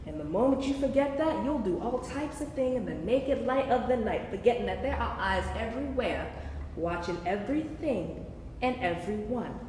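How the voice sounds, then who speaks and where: A woman's voice: fairly high pitch, expressive, normal speed, a little mellow, lightly acted. A woman playing a cheeky, worldly-wise man with a theatrical, teasing, preachy way in a staged play reading. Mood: wry, cautionary. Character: cheeky, worldly-wise queer man, a streetwise regular of basement bars. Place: live stage reading in a reverberant hall. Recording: echoey hall, noisy live stage pickup.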